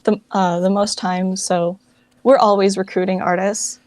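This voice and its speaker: A woman's voice: medium pitch, flat delivery, unhurried, a little mellow, no room sound. A woman chatting casually as an interview guest, with informal, hesitant phrasing and plenty of "like". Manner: informal, hesitant, filler-heavy phrasing with frequent "like". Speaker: interview guest, founder of a fan dub project.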